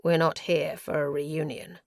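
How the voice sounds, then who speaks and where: A stern, protective man from a fantasy story, voiced by a woman reading it aloud. He is terse and commanding, speaking low and slowly with firm control. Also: level tone, creaky, dry recording, studio quality. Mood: controlled.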